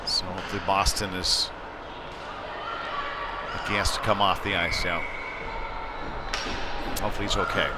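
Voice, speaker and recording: A man's voice: low voice, moderate pace, pauses often. Man calling live hockey over venue noise. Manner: conversational, rising to excitement with the play. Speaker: youth hockey play-by-play commentator. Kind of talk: live sports commentary with casual asides.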